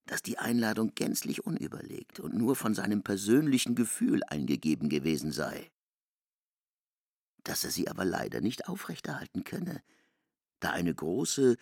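Deep, gravelly man narrating with swooping intonation. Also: darkish tone, no room sound, clean studio sound. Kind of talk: literary radio drama narration.

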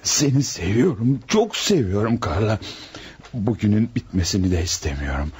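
Husky, drunken, besotted schemer. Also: male voice, lowish voice, sing-song, normal speed, a little mellow, full character voice. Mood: pleading, impassioned. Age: adult, not old. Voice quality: husky, gravelly. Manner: slurred, impassioned, chuckling drunkenly. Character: drunk, besotted, scheming male lover of married woman. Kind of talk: radio play acting.

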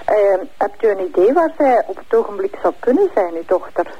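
A husky-voiced Flemish speaker puts questions as in an interrogation. The phrasing is informal and halting, with words restarted along the way. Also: mid-range voice, very animated, dull audio.

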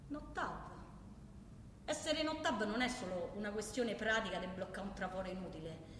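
An actress playing a defiant, impassioned protester in a theatrical monologue, declaiming insistently in a Roman Italian accent with emphatic repetition. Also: female voice, mid-range voice, natural voice. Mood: solemn, resolute. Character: defiant, impassioned woman protester ranting on a train. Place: large reverberant room, far from microphone. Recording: distant, echoey hall with background noise.

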